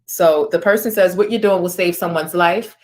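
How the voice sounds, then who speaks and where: A woman's voice: fairly low pitch, normal speed, a little mellow. A woman hosting an online news stream, reading screenshots aloud and commenting in a conversational, informal way. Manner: conversational, informal, with asides and reactions.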